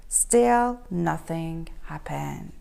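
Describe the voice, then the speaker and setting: A woman reading a picture book aloud to children in an expressive, animated storytelling style, inviting them to join in. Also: lowish voice, extremely slow, creaky, clean tone. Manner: expressive, asks the listening children questions.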